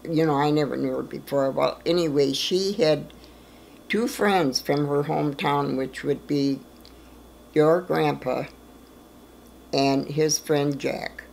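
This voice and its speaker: A woman in her eighties telling family stories in a plain-spoken, unhurried way during a documentary interview at home. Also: sits low, steady tone, gravelly, a little mellow, slight background noise, poor audio. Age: 80s. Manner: plain-spoken, slow storytelling with small laughs. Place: living room, in an armchair.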